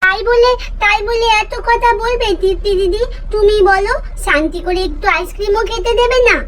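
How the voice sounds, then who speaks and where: Very high, whiny little girl. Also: normal speed, fairly bright tone, slight background noise. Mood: pouty. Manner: whiny, pouty, childish. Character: demanding, chatterbox little village girl doll.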